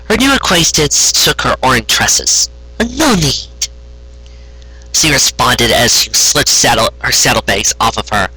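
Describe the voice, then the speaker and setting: Bright, husky, animated story reader. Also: medium pitch, no echo, poor audio. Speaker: amateur audiobook narrator. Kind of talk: expressive fan fiction story reading.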